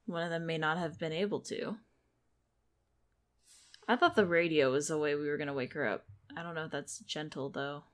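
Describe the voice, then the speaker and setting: A woman's voice: low voice, normal speed, pauses often. A woman giving casual playthrough commentary, thinking aloud as she plays. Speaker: game streamer.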